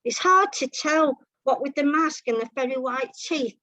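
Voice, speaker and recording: A woman's voice: high voice, moderate pace, bright, forceful tone, no echo. A woman reading a comic short story over a video call. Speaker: story reader. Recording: muffled, dull online meeting audio.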